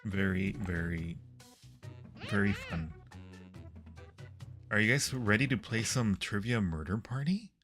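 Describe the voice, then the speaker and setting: A man's voice: lowish voice, expressive, measured pace, long pauses, fairly bright tone, soft background music. A man hosting a livestream, chatting casually with his viewers while playing games. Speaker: livestream host.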